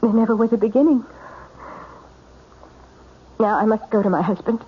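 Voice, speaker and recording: An actress playing a dutiful wife torn by forbidden love, earnest and strained, in 1970s radio drama style. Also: female voice, on the high side, normal speed, a little hiss or hum, lightly acted. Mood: anguished, resolute. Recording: dull, band-limited radio drama recording.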